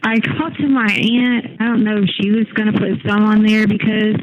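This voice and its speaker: A woman's voice: mid-range voice, flat delivery, normal speed, noisy background. A woman in a casual, agitated phone chat, rambling with slang and cursing over a jail phone line. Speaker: jail inmate on a phone call. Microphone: telephone handset.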